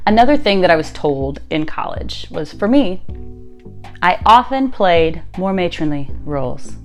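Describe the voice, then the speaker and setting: A woman in her late twenties with a deep voice, candidly telling her own story to camera in a chatty vlog, with asides and rhetorical questions. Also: very animated, a little slow, faint music behind. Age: late 20s. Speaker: content creator and musical theatre actress.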